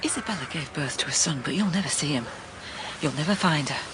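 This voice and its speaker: An actress playing a sensible, compassionate housekeeper who narrates a radio drama in a low, grave, measured voice. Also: female voice, expressive, noisy background. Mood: firm, pleading.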